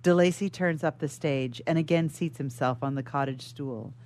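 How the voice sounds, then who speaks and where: A woman reading scripted stage directions as narrator of a period drama, in a measured, formal manner. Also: low-pitched, a little mellow.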